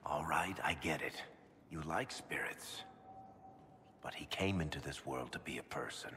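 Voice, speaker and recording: An actor playing a gruff, protective middle-aged dwarf in a video game, speaking in a casual, wry, blunt way. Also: male voice, extremely low pitch, measured pace, sharp tone, long reverb tail, slight background noise, exaggerated voice. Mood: gentle, firm. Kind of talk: dramatic video game acting.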